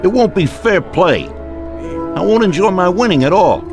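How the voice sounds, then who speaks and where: An actor playing an indignant American mobster in a video game, protesting in emphatic everyday speech. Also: male voice, high-pitched, animated, a little slow, over music. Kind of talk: video game cutscene dialogue.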